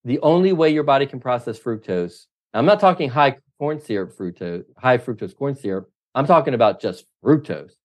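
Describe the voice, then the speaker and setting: A man's voice: medium pitch, lively intonation, normal speed, few pauses. A middle-aged man, a triathlon coach, answering interview questions in an emphatic, opinionated way, often running through lists. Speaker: triathlon coach, podcast guest.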